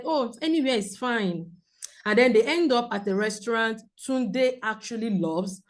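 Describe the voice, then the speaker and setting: A woman counsellor with a loud voice, teaching a group in a clear, measured way with examples. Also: a little high. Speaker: premarital counsellor. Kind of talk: teaching a seminar talk.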